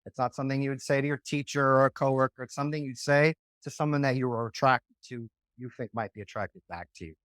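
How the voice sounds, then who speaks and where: A man's voice: mid-range voice, fast talker, few pauses, fairly bright tone, dry recording. Conversational man explaining, dry sound. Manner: conversational, often checks in with "right?". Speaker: dating coach. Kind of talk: podcast interview, explaining.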